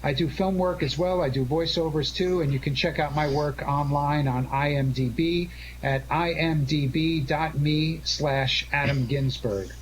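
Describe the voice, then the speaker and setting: A man in his forties or older chatting casually and earnestly as a live radio host, halting and full of thanks, over an internet call. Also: a little high, fairly even intonation, poor audio. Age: 40s or older. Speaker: show host and director. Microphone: Skype-type connection. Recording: internet call with background noise.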